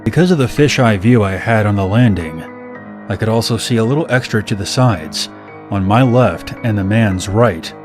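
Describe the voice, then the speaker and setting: A man's voice: lowish voice, lively intonation, moderate pace, music in the background. A man reading a scripted first-person scary story as a narrator.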